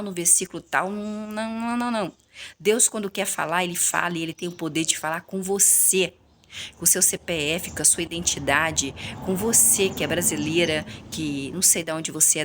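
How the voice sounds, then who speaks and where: A woman's voice: mid-range voice, slight background noise. A Brazilian woman teaching the Bible informally, speaking straight to viewers, repeating herself and answering her own questions. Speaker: Bible teacher hosting a recorded reading.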